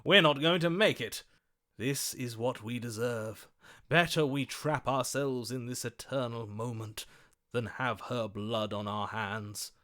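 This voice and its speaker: A man acting a slow, lovestruck gallant hero. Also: medium pitch, fairly bright tone, natural voice. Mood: resigned, sorrowful. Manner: florid, swooning, dramatic delivery. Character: lovestruck, gallant romantic hero, grandly melodramatic, tender. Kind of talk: theatrical video game voice acting.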